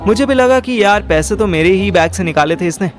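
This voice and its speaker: A man's voice: sits high, expressive, brisk, soft background music. A young man narrating a first-person audio drama in colloquial Hindi mixed with English words. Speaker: narrator and lead character.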